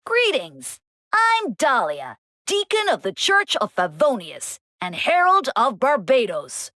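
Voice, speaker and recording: Game role: breezy young deacon, mid pitch, very lively intonation, mischievous, close dry recording. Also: female voice, takes it slowly, no echo, full character voice. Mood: playful, teasing. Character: playful, bored young church deacon, cheeky and mischievous. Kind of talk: scripted game character acting.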